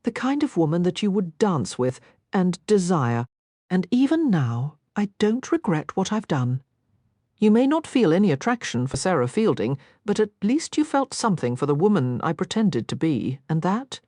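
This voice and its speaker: Remorseful young gentlewoman, tearful and babbling, voiced by the woman narrator, dry close read. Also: fairly low pitch, big pitch swings, normal speed, no echo. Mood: defiant.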